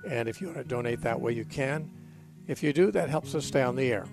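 A hoarse man hosting a live call-in Bible radio show, speaking with frequent fillers and restarts. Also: mid-range voice, brisk, over music. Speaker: radio talk show host.

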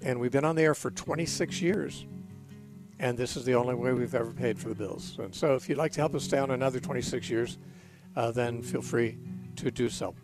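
A hoarse man hosting a live call-in Bible radio show, speaking with frequent fillers and restarts. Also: medium pitch, a little fast, music in the background. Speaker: radio talk show host.

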